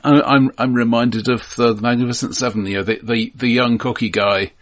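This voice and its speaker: A middle-aged man co-hosting a film podcast, speaking casually and thoughtfully, halting and often repeating the starts of words. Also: medium pitch, steady tone.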